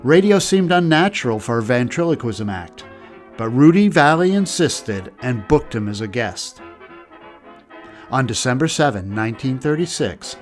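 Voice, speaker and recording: Brief: man narrating a documentary, even delivery, faint music under him. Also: medium pitch. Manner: steady, measured storytelling. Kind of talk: scripted narration.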